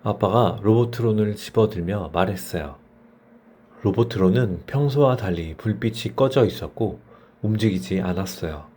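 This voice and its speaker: A man's voice: on the low side, moderate pace, warm tone, slight background noise. A man narrating a bedtime story for children as a storyteller.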